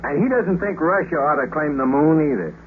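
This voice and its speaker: Through a tinny, slightly noisy 1950s radio recording comes an actor as a brash American astronaut. He is a good-hearted man who talks in wry slang with an American accent and a lively, swinging pitch. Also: a little fast, close to an everyday voice. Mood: friendly, businesslike. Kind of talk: 1950s radio drama acting.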